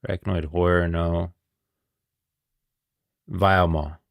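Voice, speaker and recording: Casting: man, gaming streamer, low gravelly voice, explaining lore in a casual, rambling way. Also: fairly even intonation, slow pace, no room sound, studio quality. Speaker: streamer, game lore commentator. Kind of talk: casual live commentary.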